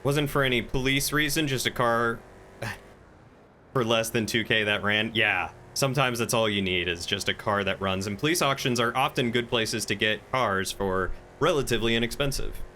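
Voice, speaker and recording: A male streamer talks casually through gameplay in a medium-pitched, bright voice. He is chatty and rambles as he thinks aloud, with slight noise in the background. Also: moderate pace. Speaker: game streamer. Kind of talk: casual live-stream chat and gameplay commentary.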